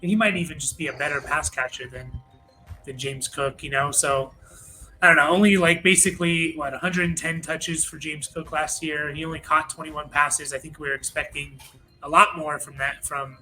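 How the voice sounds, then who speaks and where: Bright-voiced man hosting fantasy football talk. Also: a little high, steady tone, normal speed, faint music behind, low-quality recording. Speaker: host of a fantasy football show. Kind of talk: casual sports rankings talk.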